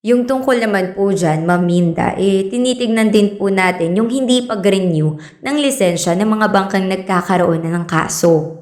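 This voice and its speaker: An actress plays a patient, polite woman addressing a village forum in a radio drama. Her delivery is measured and explanatory, at an ordinary pitch. The sound is noticeably roomy. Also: darkish tone, natural voice. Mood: patient, courteous.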